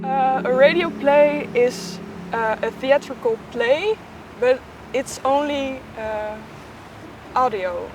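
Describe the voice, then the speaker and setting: Slow-speaking woman with higher voice. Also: over music. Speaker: audio play maker. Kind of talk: interview explanation. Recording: faint background noise.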